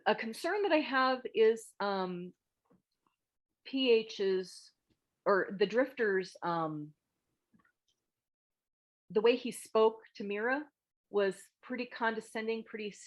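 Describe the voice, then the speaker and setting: Frank, halting woman giving casual feedback. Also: medium pitch, dull audio. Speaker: host of a table read meet-up giving script feedback. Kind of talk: casual online group critique. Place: online video call. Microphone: video call microphone.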